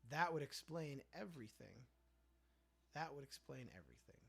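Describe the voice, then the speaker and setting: A man's voice: medium pitch, animated, normal speed, creaky, sharp tone, breathy edge. A young man hosting a casual livestream, reading viewer comments aloud and joking about them. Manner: chatty, reads viewer comments aloud and riffs on them playfully. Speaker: livestream host reading chat.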